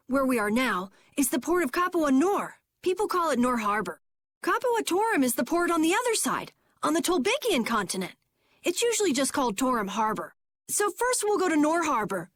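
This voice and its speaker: A woman voicing an excitable, boastful young boy in a video game, earnest and whiny, easily flustered. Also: high-pitched, dry recording, clean studio sound. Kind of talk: English video game dub acting.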